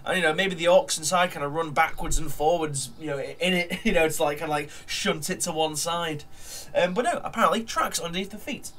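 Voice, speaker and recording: A man's voice: fairly high pitch, brisk, a bit bright. A man with a nasal, blocked-up voice from a cold, chatting casually at his desk while narrating a model build, full of ums and uhs. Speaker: hobby model builder vlogger.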